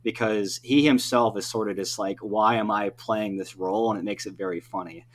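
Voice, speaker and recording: A man's voice: lowish voice, steady tone, normal speed. A man talking casually as an interview guest, rambling and breaking off his own sentences with frequent filler phrases. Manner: rambling, self-interrupting, peppered with "you know" and "like". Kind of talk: casual podcast interview chat. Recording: clear background noise with hum.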